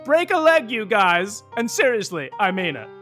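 A man's voice: high voice, very animated, moderate pace, a bit bright, soft background music. A man playing a chatty, theatrical radio drama host who narrates with playful, wry asides. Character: chatty theatrical male show host, wryly gushing. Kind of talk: scripted comic radio narration.

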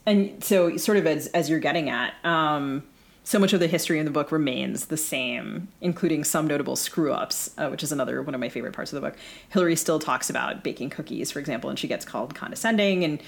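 Female podcast co-host, distinctly low voice, casual bookish interview style, builds up long winding questions. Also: fast, vocal fry.

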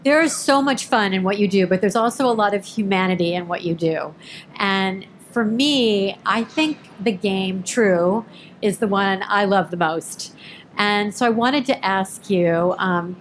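A woman moderating a panel, asking questions in a measured way. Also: mid-range voice, slight background noise, low-quality recording.

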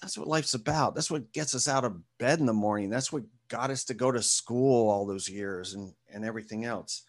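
A man as an interview guest and author, answering with lively storytelling and speaking to a group. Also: mid-range voice, fairly quick.

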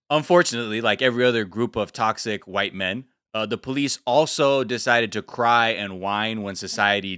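A man hosting a podcast in casual, comedic news chat, animated and slangy, slipping into mocking acted-out quotes. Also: on the low side, clean tone, dry recording, clean studio sound. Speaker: podcast host.

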